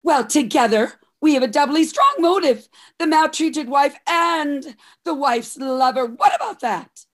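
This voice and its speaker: Actress as a high, overwrought conniving wife. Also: female voice, a little slow, hardly any pauses, a bit bright. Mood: arguing. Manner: overwrought, theatrical. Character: melodramatic, conniving mistreated wife in murder farce. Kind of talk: old-time radio comedy mystery acting.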